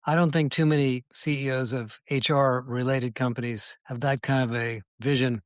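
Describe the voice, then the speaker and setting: A man's voice: mid-range voice, clear, resonant tone, no room sound, clean studio sound. A man hosting a podcast interview, asking questions in an upbeat, conversational way. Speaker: podcast host.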